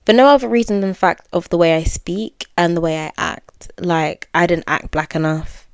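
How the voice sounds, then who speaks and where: Solo podcast host, woman, candid and musing, smooth clear tone. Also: on the low side, steady tone, normal speed, no echo. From United Kingdom. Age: 20s. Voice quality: well-spoken. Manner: candid, musing, many fillers and self-corrections. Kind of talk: reflective solo podcast monologue.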